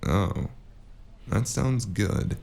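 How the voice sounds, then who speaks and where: An actor playing a weary, wry, hard-boiled cyberpunk hired gun, narrating and talking in a dry deadpan in a noir audio drama. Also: male voice, lowish voice, drawn-out words, gravelly, full character voice. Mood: puzzled, dry. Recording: guitar music behind the voice. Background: plucked guitar music.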